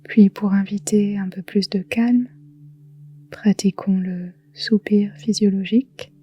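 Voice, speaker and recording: Slow, breathy woman guiding relaxation. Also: medium pitch, highs cut off. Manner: soft, breathy, whispery delivery; slow, calm, soothing, with long pauses. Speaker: yoga nidra guide. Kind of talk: guided relaxation meditation.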